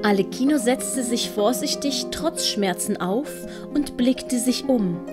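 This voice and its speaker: A woman with a Swiss German accent narrating a storybook in a gentle, measured way. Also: a little high, lively intonation, over music. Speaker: narrator and author.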